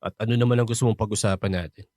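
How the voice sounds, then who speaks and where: A man's voice: fairly low pitch, fairly even intonation, rapid-fire, warm tone, dry recording, clean studio sound, natural voice. A man with a Filipino accent playing a bitter, hostile middle-aged father in a radio drama, curt and confrontational.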